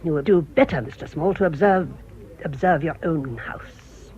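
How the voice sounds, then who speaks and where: A woman's voice: low voice, moderate pace, darkish tone, close to an everyday voice. A woman playing a haughty British village spinster in a radio drama, imperious, emphatic and accusing. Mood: spiteful, insinuating. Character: indignant, haughty village spinster, proud of her lineage. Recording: muffled radio drama recording.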